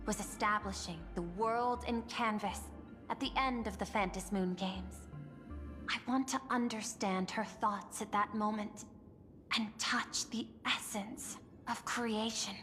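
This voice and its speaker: A woman playing a composed, calculating android executive in a video game, speaking in a measured, formal, articulate way. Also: medium pitch, fairly even intonation, a bit bright, lightly acted. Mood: reflective, measured. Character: composed, calculating female android executive and art-seeking administrator. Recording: long reverb, music behind the voice.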